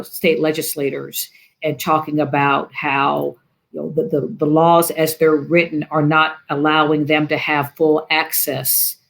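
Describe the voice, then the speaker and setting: Woman, low pitch, earnest advocate, deliberate explanatory panel talk.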